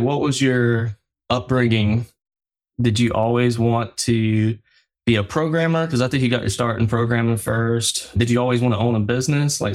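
A man co-hosting a podcast, asking questions in a casual, conversational way. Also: mid-range voice. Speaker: podcast co-host, interviewer. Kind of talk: casual interview chat.

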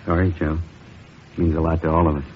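Low, monotone police captain. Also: male voice, brisk. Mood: somber. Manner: terse, subdued, clipped sentences. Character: weary veteran male police captain, gruffly sympathetic. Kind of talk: 1950s radio acting. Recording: tinny, hissy old radio recording.

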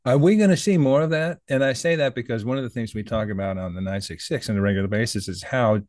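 A man's voice: mid-range voice, fast talker, darkish tone, no room sound, studio quality. A man hosting a podcast interview, asking curious questions and often stumbling and repeating words. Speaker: podcast host.

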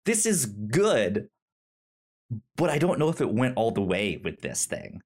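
A man co-hosting a podcast, chatting casually about film in a halting way, often stammering and repeating the starts of words. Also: mid-range voice, sing-song, darkish tone. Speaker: podcast co-host.

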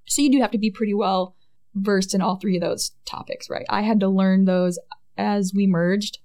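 Midwestern woman with a creaky voice. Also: mid-range voice, steady tone, normal speed, warm tone. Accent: Midwestern American. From Michigan. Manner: chatty, frequent "right?" and "like". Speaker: guest, Air Force public affairs NCO. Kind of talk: casual interview chat.

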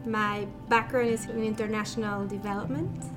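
A woman's voice: medium pitch, steady tone, moderate pace. A female master's student from Mexico introducing herself in a matter-of-fact way. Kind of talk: interview answers. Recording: music under the voice.

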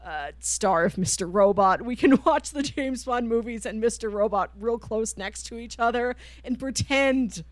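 A woman's voice: fairly high pitch, moderate pace, hardly any pauses, dry recording. Chatty woman podcaster, dry close sound. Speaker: podcast co-host. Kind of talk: casual chat, pitching films to co-host.

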